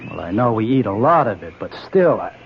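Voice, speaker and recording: A man's voice: fairly low pitch, very animated, fairly quick, creaky. An actor playing an earnest, easily exasperated younger man on an expedition, emphatic and questioning, in an old-time radio drama. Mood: puzzled. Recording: dull vintage radio recording, faint noise.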